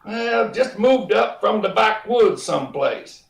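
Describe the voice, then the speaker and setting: A man's voice: sits high, animated, takes it slowly, vocal fry, exaggerated voice. A man playing a flustered, evasive boss who stammers and orders people about in folksy grammar, in a retro radio comedy. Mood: harried. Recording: roomy, echoey video-call recording.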